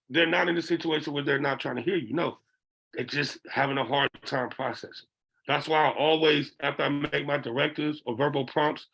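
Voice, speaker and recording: A middle-aged man with a raspy voice, a behavioral interventionist lecturing educators and often checking in with "okay?". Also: a little high, fairly bright tone, poor audio. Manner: checks in with listeners by asking "okay?".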